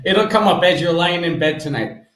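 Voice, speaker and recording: A man hosting a casual interview as an English teacher, encouraging and quick to laugh. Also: high-pitched, a bit bright, reverberant, rough recording.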